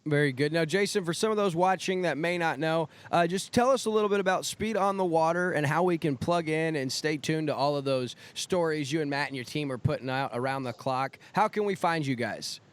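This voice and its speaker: A man's voice: on the high side, fairly quick, few pauses. A man hosting an interview in an upbeat, friendly and encouraging way, with polished delivery. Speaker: show host.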